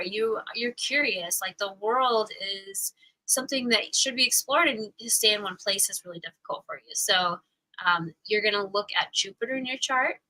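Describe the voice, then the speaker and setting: Woman, astrology teacher, chatty, bright, filler-heavy, laughing. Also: mid-range voice, rough recording. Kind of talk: casual live class teaching.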